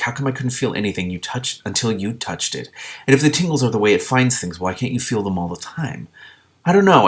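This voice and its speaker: A man with a fairly low voice reads a fantasy story aloud. His delivery is expressive and informal, like someone sharing a favorite book and commenting casually as he goes.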